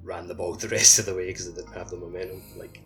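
A man's voice: low-pitched, little pitch movement, fast, sharp tone, faint music behind. A man co-hosting a casual video game podcast over a voice call, rambling and tentative in his phrasing. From Scotland. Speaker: podcast co-host. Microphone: voice call over the internet.

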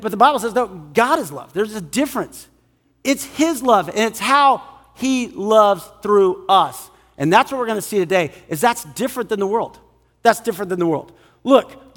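A male pastor with a high-pitched voice preaches in a long, ringing hall reverb. His delivery is conversational and animated, acting out little quotes in everyday slang. He checks in with listeners and repeats phrases to drive them home. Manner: animated, acts out imagined quotes in casual slang; rhetorical check-ins and repetition for emphasis. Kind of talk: conversational evangelical sermon.

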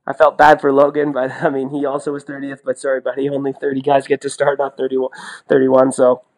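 A man's voice: mid-range voice, completely flat delivery, a little fast, low-quality recording. A man chatting casually as a sports podcast co-host, talkative and colloquial, often repeating himself for emphasis. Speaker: podcast co-host and sports commentator, former athlete.

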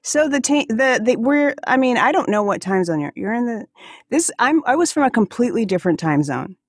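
Halting American woman, casual podcast guest. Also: a little high, sing-song, breathy edge, no room sound, studio quality. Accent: American, faint Alaskan. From Alaska, US. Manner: halting, searching for words. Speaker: podcast guest, comedian and activist. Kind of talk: casual conversational interview.

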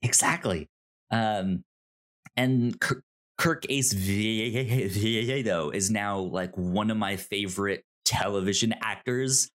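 A man co-hosting a podcast, chatting casually about television in a halting way, often repeating words and stammering over them. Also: on the low side, a bit bright. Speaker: podcast co-host. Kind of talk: casual conversational TV show discussion.

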